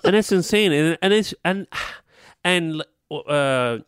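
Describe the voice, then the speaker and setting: A man's voice: on the high side, expressive, takes it slowly, fairly bright tone, slight background noise. A man co-hosting a podcast, talking in casual chat and laughing and playing along easily. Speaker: podcast co-host.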